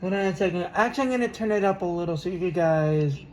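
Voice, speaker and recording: A person giving a casual hands-on demo to viewers, talking haltingly while working. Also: medium pitch, a little hiss or hum, rough recording. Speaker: video demonstrator.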